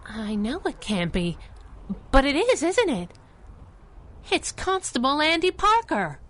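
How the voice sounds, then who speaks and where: An actress playing a plucky, wisecracking young female sidekick in a 1930s-style radio serial, with sassy, excitable, slangy speech. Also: high voice, unhurried. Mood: stunned.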